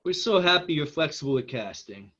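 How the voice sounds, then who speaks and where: A man playing a smooth, agreeable film producer in a table read. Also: on the high side, lively intonation, brisk, pushed and bright, heavily acted. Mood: earnest. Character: smooth, agreeable male producer pushing diversity casting. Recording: slightly dull, lo-fi audio.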